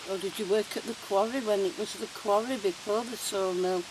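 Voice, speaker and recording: A woman with a middle-pitched voice, talking loosely and guessing aloud. She is heard from some distance away from the mic. Rain and a steady hiss run under her voice. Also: brisk. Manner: conversational, speculating aloud. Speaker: paranormal investigator. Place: outdoors at a woodland waterfall site. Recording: distant hissy outdoor recording with rain.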